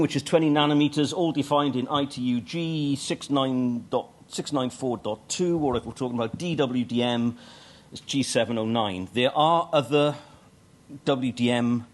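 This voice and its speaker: A middle-aged man presenting a technical talk at a conference, with dry humour and frequent ums and uhs. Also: mid-range voice. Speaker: conference speaker. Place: conference hall stage.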